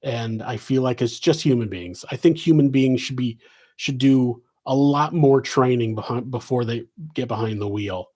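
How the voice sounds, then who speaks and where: A man's voice: mid-range voice, moderate pace, darkish tone. A man with a West Coast American accent, hosting a live Q&A and answering in a casual, rambling way full of fillers and restarts. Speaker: podcast host answering questions.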